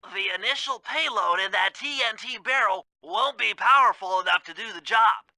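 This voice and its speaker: A brainy little cartoon gadget expert, briefing a video game mission. The voice is high, nasal and very sharp, with precise, technical phrasing. It sounds dry and close. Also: male voice, moderate pace, no echo, heavily acted. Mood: matter-of-fact.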